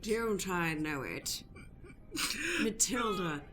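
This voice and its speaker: Woman as Darwin, slow pompous suitor. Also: lowish voice, animated, fairly bright tone, a little hiss or hum, full character voice. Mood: awkwardly admiring. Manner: pompous, hesitant, deliberate. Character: Darwin Doobie, awkward pompous male suitor on a date. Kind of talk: improvised radio play acting.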